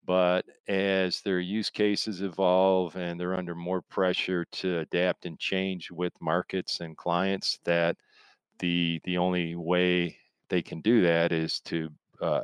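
A man with a notably flat, low-key melody explains his field as an interview guest. His delivery is conversational and wandering, and the sound is dry and close. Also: clean tone, no echo. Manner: rambling, explains through analogies and imagined quotes. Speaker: interview guest, software industry expert.

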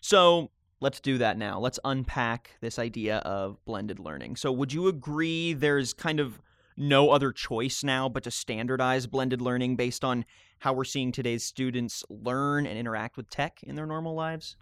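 A man's voice: medium pitch, unhurried, a bit bright, clean tone, no echo, studio quality. A man hosting a podcast interview, chatting in a friendly, casual way with fillers. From raised speaking Spanish at home, mother from Colombia. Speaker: podcast host.

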